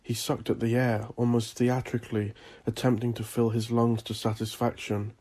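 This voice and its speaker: A man reading dark fantasy horror as a scripted story, with a measured, deliberate delivery. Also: mid-range voice, little pitch movement. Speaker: audiobook narrator.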